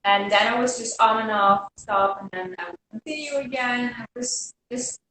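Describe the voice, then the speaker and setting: A twenty-one-year-old woman from the Netherlands, a native Dutch speaker, talks calmly and earnestly in English on a video chat. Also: fairly high pitch, moderate pace, a little hiss or hum. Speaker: guest. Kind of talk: casual live video chat. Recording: very echoey room, distant mic.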